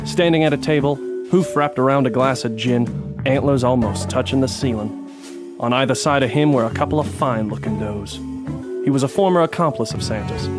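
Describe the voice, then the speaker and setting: A man's voice: medium pitch, lightly acted. A man playing a dogged, melodramatic detective, narrating a noir parody radio drama in an earnest, dramatic way. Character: brooding hard-boiled male detective narrator, melodramatic, dogged. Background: music.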